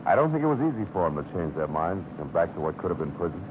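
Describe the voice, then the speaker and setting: An actor playing a hard-boiled private detective, narrating in terse, clipped first person in a 1950s radio drama. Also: male voice, low-pitched, sing-song, racing, background noise. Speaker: narrator and lead. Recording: tinny, phone-like old radio recording with music.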